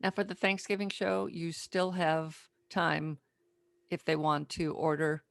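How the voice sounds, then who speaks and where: A woman's voice: deep voice, fairly even intonation, a little slow, vocal fry, dry recording. A woman hosting a casual interview, speaking plainly and clarifying details for listeners. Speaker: podcast host, interviewer.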